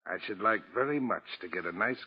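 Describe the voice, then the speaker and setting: Villainous crime boss, genteel and menacing, husky mid-pitched voice, 1940s radio drama, muffled old recording. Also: male voice, lively intonation, fairly quick. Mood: smugly menacing. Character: male crime boss posing as respectable citizen, smooth, menacing.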